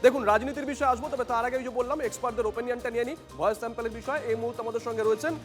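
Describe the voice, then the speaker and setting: Guy hosting news panel, really high voice, emphatic short phrases, music under him. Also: fairly even intonation, racing. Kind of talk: live TV news debate moderating. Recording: long hall reverb, music underneath.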